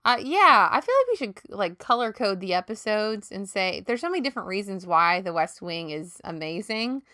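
A woman's voice: medium pitch, sing-song, normal speed, pushed and bright, no room sound, clean studio sound. A woman co-hosting a podcast in casual chat, chatty and laughing often, coming down with a cold. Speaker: podcast co-host.

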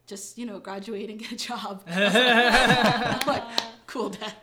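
A young woman speaking as an interview guest in a relaxed, chatty way, full of "like" and quick laughs. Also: on the high side, a crowd in the background. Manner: chatty, many fillers, laughs when uncomfortable. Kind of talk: casual interview chat.

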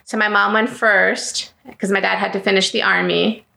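Female interview guest, thirties, Greek-speaking upbringing, bright and slightly gravelly, recorded in a roomy space, talkative with lots of filler words. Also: mid-range voice, level tone, moderate pace. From South Florida. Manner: chatty, many ums and you-knows, laughs easily. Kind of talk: casual life-story interview.

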